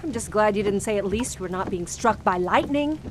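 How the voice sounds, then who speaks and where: An actress playing a sardonic, uneasy young wife on honeymoon, in old-time radio drama style. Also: female voice, mid-range voice, lively intonation, normal speed, noisy background, lightly acted. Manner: dry, sarcastic.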